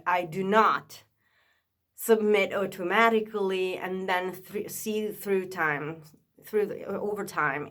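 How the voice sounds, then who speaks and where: A woman, chatting casually to a camera held up high, with many fillers and restarts. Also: a bit bright. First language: Italian. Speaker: vlogger.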